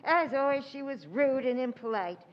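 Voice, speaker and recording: A woman's voice: high-pitched, expressive, normal speed, muffled recording. A woman playing a bad-tempered old aunt in old-time radio drama style, curt and scolding. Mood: cross, curt.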